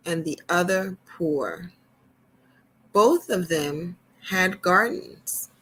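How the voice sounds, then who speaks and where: Female storytime host, lowish voice, very unhurried emphatic children's reading with conversational asides. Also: gravelly, a bit bright, a little hiss or hum, low-quality recording.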